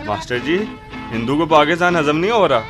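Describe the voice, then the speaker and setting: A man's voice: fairly high pitch, animated, a little fast, music in the background, close to an everyday voice. A man playing a brash, friendly neighbourhood barber in old Lahore, bantering colloquially in a radio drama. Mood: brash, curious.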